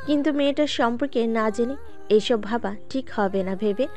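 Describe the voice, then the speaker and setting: A woman's voice: on the high side, moderate pace, darkish tone. A woman narrating an audio drama in a plain storytelling manner, speaking directly to her listeners.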